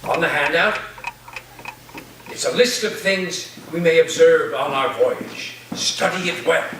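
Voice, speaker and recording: A man's voice: on the high side, a little slow, close to an everyday voice. A man playing an eccentric, commanding professor who speaks formally and theatrically, like a captain addressing his crew, in a staged reading. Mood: commanding, earnest. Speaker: actor in a staged play reading. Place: live staged reading in a reverberant room. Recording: echoey room, distant mic, faint noise.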